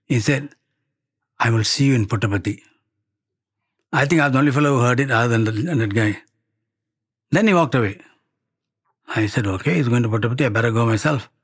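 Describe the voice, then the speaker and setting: A man's voice: mid-range voice, brisk, long pauses, husky. An older man in his seventies with a Malaysian accent, telling personal stories conversationally, acting out dialogue and checking in with the listener. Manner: animated retelling with quoted dialogue and tag questions. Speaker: devotee giving a spiritual testimony. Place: indoor studio set, seated before wood-panelled wall.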